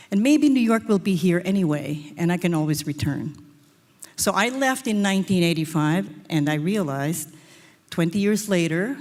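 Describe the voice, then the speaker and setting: A woman's voice: lowish voice, a little mellow. A woman, giving a short stage lecture as a design professor and telling personal stories. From Philippines. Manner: storytelling, personal anecdotes. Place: auditorium stage. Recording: reverberant hall.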